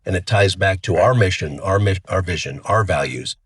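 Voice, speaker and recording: A middle-aged man with a cold that deepens his voice, chatting as the guest of an interview. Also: moderate pace, husky. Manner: relaxed and chatty, frequent restarts. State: has a cold, voice deeper than usual. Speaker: funeral home CEO, guest. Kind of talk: casual interview talk.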